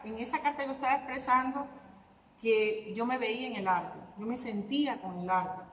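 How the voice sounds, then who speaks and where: Cuban woman artist, thoughtful and halting, recorded in a roomy hall with faint noise. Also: fairly high pitch, a bit bright. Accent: Cuban Spanish. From Cuba. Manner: reflective, with hesitant fillers and restarts. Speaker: guitarist and artist interviewee. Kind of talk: conversational interview answers.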